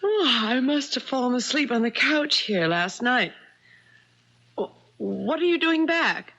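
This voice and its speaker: A woman's voice: high-pitched, expressive, a little slow, fairly bright tone, full character voice. An actress playing an anxious, indignant woman protesting her innocence in a 1970s radio mystery. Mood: worried. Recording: dull vintage radio recording.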